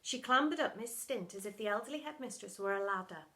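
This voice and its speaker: A woman's voice: mid-range voice, animated, quick pace, pushed and bright. A woman narrating a children's story in a dramatic storytelling style, as for primary school pupils.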